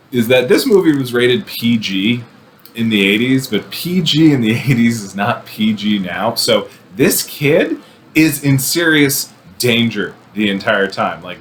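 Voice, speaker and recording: Low-voiced man, wry casual film reviewer. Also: fairly bright tone, slight background noise. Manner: wry, irreverent, sardonic asides. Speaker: host of a movie review show. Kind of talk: casual, conversational film commentary.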